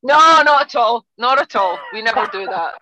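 A woman's voice: a little high, animated, normal speed, bright, forceful tone, low-quality recording. A woman from Scotland with a Scottish accent, guest coach on a podcast, chatting loosely with many restarts and fillers. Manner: chatty, frequent restarts and fillers, laughs easily. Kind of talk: casual podcast interview.

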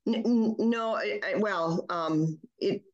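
A woman's voice: medium pitch, takes it slowly, dull audio. A woman pediatrician from Michigan, German as her first language, explaining child development in an interview with many ums and restarts. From Michigan, USA. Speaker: pediatrician guest.

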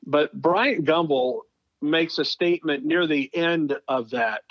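A man's voice: on the high side, takes it slowly, dry recording, lo-fi sound. A middle-aged male sports broadcaster telling stories conversationally as an interview guest, leaning on phrases for emphasis. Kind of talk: conversational storytelling.

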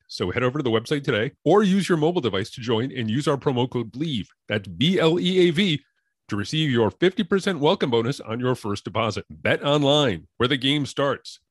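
A man's voice: a little high, no room sound, clean studio sound. A man hosting a sports podcast, delivering scripted intros and interview questions.

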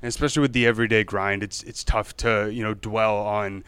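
A man's voice: lowish voice, little pitch movement, fast talker, a bit bright, clean tone, no echo. A young adult man hosting a sports interview podcast, asking long rambling questions with plenty of fillers. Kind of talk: casual interview chat.

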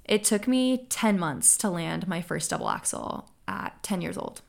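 Upbeat young woman with gravelly voice. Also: fairly low pitch, wildly swooping pitch, measured pace. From United States. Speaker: podcast host. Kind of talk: solo podcast Q&A.

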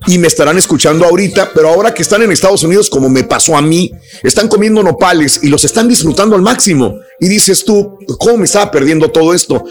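A man's voice: high-pitched, quick pace. A man hosting a conversational live radio show, addressing listeners directly and repeating phrases for emphasis. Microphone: close studio microphone.

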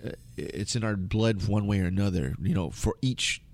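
American guy, kinda low voice, just rambling casually with lots of slang. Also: normal speed, darkish tone. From United States. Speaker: interview guest. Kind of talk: casual conversation.

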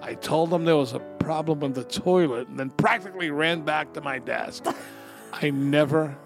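A man co-hosting a podcast, telling crude comedic stories in a profane, animated way with frequent laughs. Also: a little high, moderate pace, creaky, faint music behind. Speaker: podcast co-host. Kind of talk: crude comedic storytelling and banter.

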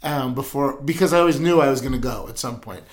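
A man co-hosting a casual podcast chat, talkative and quick to laugh, rattling off examples as he goes. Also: medium pitch. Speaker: podcast co-host.

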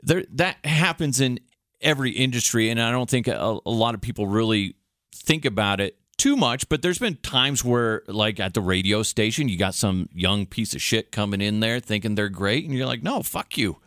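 A man's voice: lowish voice, lively intonation, normal speed, a bit bright, no echo, studio quality. A man hosting a casual sports podcast, speaking in a blunt, informal, slangy way. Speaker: podcast host, radio station worker.

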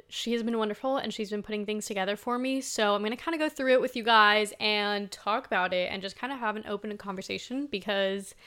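A woman's voice: mid-range voice, fairly bright tone, clean tone. A woman in her twenties from Spain giving casual, conversational commentary as a host, chatty and informal, leaning on key words for emphasis. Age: 20s. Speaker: host, sustainable fashion commentator.

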